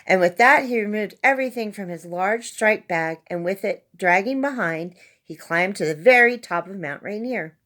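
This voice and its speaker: A woman's voice: medium pitch, lively intonation, normal speed, fairly bright tone. A woman reading a children's story aloud as narrator, expressively and with dramatic emphasis on key words. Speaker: storybook reader.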